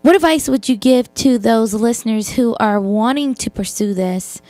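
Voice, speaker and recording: A woman's voice: fairly high pitch, moderate pace, warm tone, no room sound. A woman hosting a radio interview, upbeat and giggly. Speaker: radio show host.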